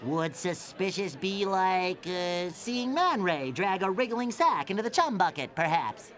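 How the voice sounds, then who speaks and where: A woman's voice: fairly low pitch, lively intonation, unhurried. A woman voicing a chatty, singsong young female character in a cartoon game, over music. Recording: music behind the voice.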